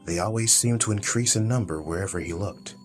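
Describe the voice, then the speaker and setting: A man narrates a fantasy story in a low voice. He reads as a scripted audiobook storyteller, with soft music running behind him. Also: brisk.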